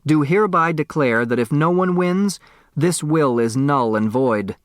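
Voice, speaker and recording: A man's voice: a little high, lively intonation, a little slow, no echo, studio quality, pitched above the performer's own voice. A man voicing an eccentric rich old man's will in an audiobook, grandiose, showman-like and teasing. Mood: mocking, grand.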